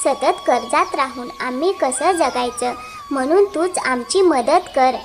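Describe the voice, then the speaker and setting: A woman voicing a scheming, insistent elder married sister from a village in an animated moral story. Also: high voice, a little slow, over music. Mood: pleading, persuasive.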